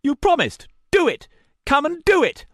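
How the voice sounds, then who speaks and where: A man's voice: wildly swooping pitch, slow pace, a bit bright, no room sound, studio quality, full character voice. A man voicing a crazed man who rants in short broken phrases and clutches a cursed charm. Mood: frantic. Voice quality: shrill, ranting in broken phrases.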